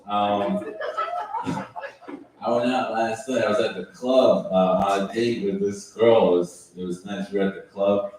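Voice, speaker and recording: A man doing a stand-up set at an open mic night with a calm, laid-back, deadpan delivery. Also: on the low side, unhurried. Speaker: stand-up comedian. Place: open mic at a bar venue. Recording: echoey room from far off, loud noise.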